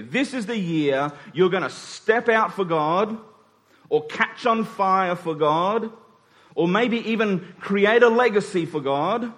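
A man's voice: high-pitched, fairly bright tone. A man in his forties preaching a sermon, speaking rhetorically with emphatic points and dropped asides. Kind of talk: sermon to a congregation. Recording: long hall reverb.

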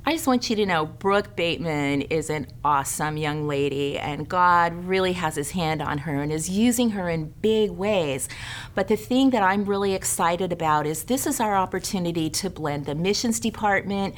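A middle-aged woman on the lower side of the female range gives the church announcements. She sounds earnest and inviting as she runs through classes and events. A faint low rumble sits under her voice. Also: moderate pace. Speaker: care ministry director.

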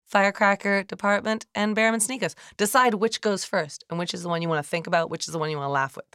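A woman co-hosting a comedic podcast, playing absurd bits with a deadpan, straight-faced delivery. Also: medium pitch, fast talker, smooth, no echo, studio quality. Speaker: podcast co-host.

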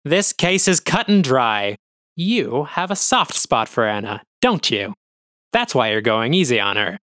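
A man's voice: lowish voice, animated, brisk, sharp tone, clear, resonant tone, no echo, clean studio sound. A man voicing a scrawny, ferret-like police officer who barks and sneers in an audio drama. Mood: sneering hostile. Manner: barking, sneering.

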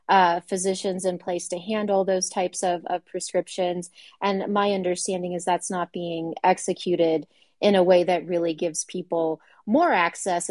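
Lower-voiced woman hosting a panel. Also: darkish tone, dry recording. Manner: measured, frequent fillers, poses questions to guests. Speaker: podcast host. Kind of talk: casual panel discussion.

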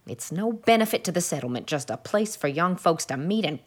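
Storybook spinster, fifties, played by a woman: sour pessimist, grim and complaining, lowish pitch with lively swings. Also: a little fast. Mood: grim disapproval. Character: grim, sour fifty-seven-year-old spinster, a born pessimist. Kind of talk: audiobook character dialogue.

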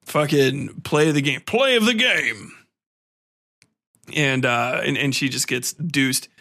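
A man's voice: medium pitch, takes it slowly, vocal fry, sharp tone. A man hosting a solo podcast review, ranting casually with heavy swearing, slang and exasperated sarcasm. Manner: profane, irreverent, slangy. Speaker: podcast host reviewing a film.

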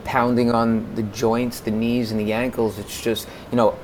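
An American man in his forties speaking conversationally as an interview guest, in a halting way that often repeats words. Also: mid-range voice, level tone, background noise. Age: 40s. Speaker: podcast guest, music consultant.

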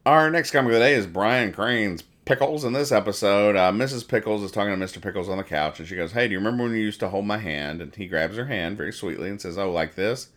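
A man hosting a casual comedy podcast, describing comic strips aloud and explaining their jokes in a rambling, halting, mock-serious way. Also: lowish voice, a bit bright. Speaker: podcast host reading newspaper comics.

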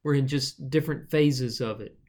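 A man's voice: medium pitch, moderate pace, darkish tone. A man co-hosting a casual Bible study discussion, musing aloud in a rambling, thoughtful way with the odd joke. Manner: thoughtful, rambling, jokey asides. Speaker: podcast co-host.

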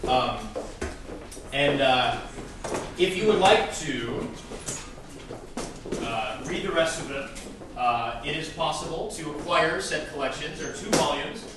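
A man's voice: fairly high pitch, lively intonation, slow pace, pauses often. Wry man hosting in a very echoey room. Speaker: host of live holiday play reading. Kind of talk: informal stage announcements to an audience. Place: live event room. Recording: very echoey live room, distant mic, noisy.

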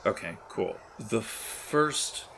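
A man with a low voice narrates a tabletop horror game as its game master. He speaks very slowly and haltingly, improvising as he goes. There is some background noise on the recording. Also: lively intonation, vocal fry. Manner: halting, searching for words.

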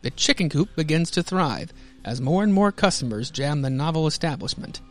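A man's voice: a little high, animated, normal speed, faint music behind. An American man hosting a podcast, mixing theatrical comic-book narration with casual commentary. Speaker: podcast host.

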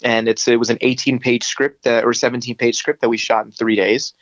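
A man's voice: mid-range voice, level tone, a little fast, no room sound, dull audio. A man with a standard American accent, a filmmaker guest chatting casually and animatedly, swearing now and then. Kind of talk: casual interview chat.

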